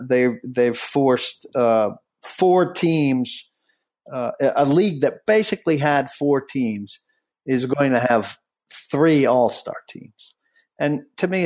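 A man's voice: medium pitch, darkish tone. A middle-aged man hosting a podcast, talking in a blunt, rambling way with many restarts and fillers. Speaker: podcast host. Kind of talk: casual podcast chat.

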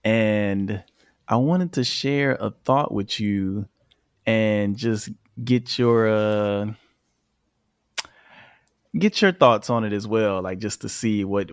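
A man hosting a podcast interview in a relaxed, casual way, with lots of easygoing fillers. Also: lowish voice, creaky, no echo, studio quality. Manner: relaxed, leans on "you know" fillers. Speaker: podcast host. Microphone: remote call.

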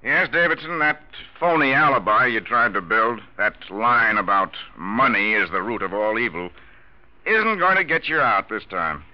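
An actor playing a tough, smug, plain-spoken police chief in a 1940s radio drama. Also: male voice, lowish voice, lively intonation, normal speed, background noise, lightly acted. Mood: triumphant. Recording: muffled old radio recording.

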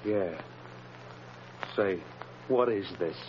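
An actor playing an edgy, streetwise gangster with rough grammar and jittery delivery in an old radio crime drama. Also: male voice, fairly low pitch, drawn-out words, slight background noise. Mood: defensive, uneasy. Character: Lefty, an edgy, uneducated male gangster. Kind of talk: 1930s-40s radio drama acting. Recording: muffled old radio recording, background hubbub.

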